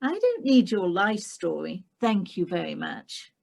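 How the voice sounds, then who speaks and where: A woman's voice: mid-range voice, big pitch swings, a little mellow, full character voice. Woman doing a prim, haughty young English lady. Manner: crisp, haughty, quick to retort.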